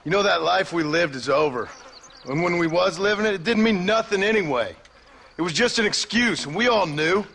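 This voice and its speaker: A man's voice: high voice, expressive, fairly quick, vocal fry, a bit bright, a little hiss or hum, exaggerated voice. A man playing a stern, world-weary former outlaw with an American accent in a Western video game, blunt and terse. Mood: grim.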